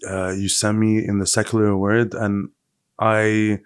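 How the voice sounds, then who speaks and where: Low-voiced man hosting a conversational podcast. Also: flat delivery, a little slow, smooth, dry recording, clean studio sound. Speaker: podcast host.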